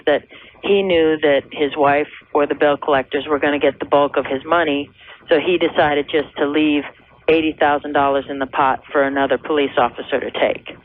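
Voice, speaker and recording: A woman's voice: deep voice, slight background noise. Thirties American female caller, conversational, earnest and hesitant, heard through a narrow, tinny telephone line on vintage radio. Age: 30s. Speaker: caller to a radio advice show. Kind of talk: conversational personal account. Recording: tinny phone line on old radio tape.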